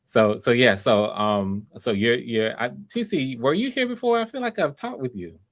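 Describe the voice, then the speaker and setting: A man's voice: medium pitch, lively intonation, moderate pace. A man hosting online auditions in a casual, conversational way, with an enthusiastic and encouraging manner. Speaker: host of online voice auditions, casting for a project.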